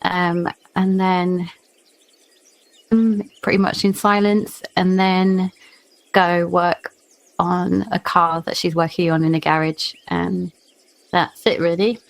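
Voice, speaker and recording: A woman player casually describing her character's actions in a tabletop game, with hesitant pauses. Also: fairly low pitch, level tone, gravelly, low-quality recording.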